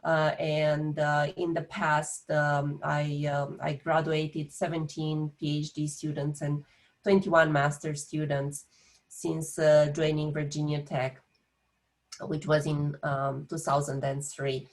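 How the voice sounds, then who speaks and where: Woman, Romanian accent, low voice, steady flat delivery, lots of ums and uhs, professor presenting. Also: slow pace. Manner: hesitant, many filler sounds. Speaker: engineering professor. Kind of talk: presentation on video call.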